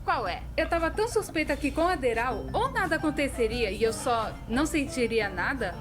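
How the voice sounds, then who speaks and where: A woman with a Brazilian accent voicing an excitable, self-mocking young cartoon narrator in an expressive, exaggerated style. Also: sits high, moderate pace. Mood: amazed, animated. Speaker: voice actress in a fan dub. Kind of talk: scripted comedic narration of an animated storytime video. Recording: music behind the voice.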